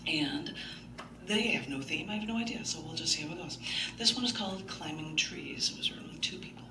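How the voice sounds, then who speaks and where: A woman's voice: sits low, wildly swooping pitch, fairly quick, distant mic. Woman reading poetry in an echoey, noisy room. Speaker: poet and open mic host. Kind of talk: live poetry reading with chatty, anecdotal introductions. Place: large indoor bookstore, live event.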